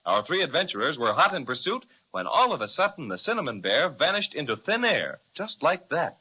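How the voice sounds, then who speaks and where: Male story narrator, dramatic scripted delivery, animated pitch, forceful bright tone, slightly lo-fi. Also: a little fast, slightly rough.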